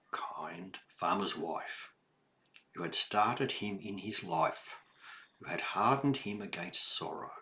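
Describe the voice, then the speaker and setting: Older man, low voice, animated storytelling. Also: slight background noise. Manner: animated, addresses the child listener with questions and exclamations. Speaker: children's storybook reader. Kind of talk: read-aloud storytelling with explanatory asides for young children.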